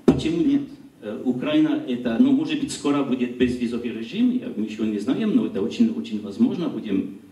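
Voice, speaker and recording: A middle-aged man with a French accent, speaking as a non-native giving a public lecture, often pausing to search for words. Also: medium pitch, animated, warm tone, far from the mic. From France. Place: small gallery hall, indoors. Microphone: handheld mic. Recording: roomy hall recorded from afar.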